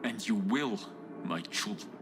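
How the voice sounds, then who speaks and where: An actor playing a solemn, fervent cult leader in a game, preaching to his followers in a measured way. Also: on the low side. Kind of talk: video game cutscene sermon. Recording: music behind the voice.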